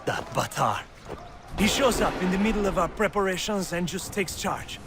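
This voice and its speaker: An actor playing a proud French officer with a French accent and a commanding, grandiloquent manner in a video game. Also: male voice, high voice, lively intonation, moderate pace. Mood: earnest, exasperated. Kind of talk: dramatic video game acting. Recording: battlefield and outdoor noise behind.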